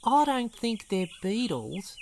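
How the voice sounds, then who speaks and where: A man voicing a meek, patient and polite female dinosaur housekeeper with a quiet little voice. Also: high voice, sing-song, takes it slowly, slight background noise, pitched above the performer's own voice, exaggerated voice.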